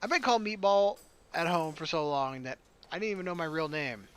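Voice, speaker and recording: A man's voice: on the high side, brisk, a bit bright, smooth. A man doing let's play commentary on a game, reading narration aloud and adding jokey, sarcastic asides. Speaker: gaming let's player.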